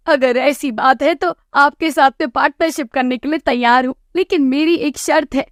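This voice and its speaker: Woman voicing a high-pitched humble village cook. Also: dry recording, studio quality, lightly acted. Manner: earnest, polite. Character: humble, kind-hearted male village eatery owner. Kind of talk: Hindi cartoon story acting.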